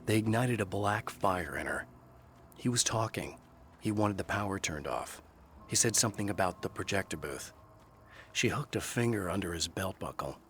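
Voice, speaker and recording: An actor playing a haunted, weary male writer who narrates a horror game in a low, measured, brooding voice. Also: a little hiss or hum.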